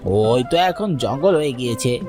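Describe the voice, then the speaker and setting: A man voicing a gruff, foreboding village boatman in a horror cartoon, with a rural eastern Bengali dialect. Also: mid-range voice, animated, moderate pace, natural voice. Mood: wary. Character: gruff, ominous village boatman warning of doom. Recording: music behind the voice.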